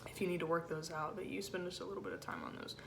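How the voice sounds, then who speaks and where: A woman with a low-set voice giving an informal music rehearsal lesson as a choir section leader, in a casual, encouraging way with hesitant phrasing. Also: sing-song, racing, creaky, noisy background. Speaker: choir section leader teaching alto parts.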